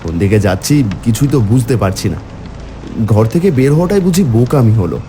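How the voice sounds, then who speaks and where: Male cartoon horror narrator: dark warm timbre, highly expressive pitch, theatrical first-person storytelling. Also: a little fast, soft background music. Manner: theatrical, exclamatory in dialogue.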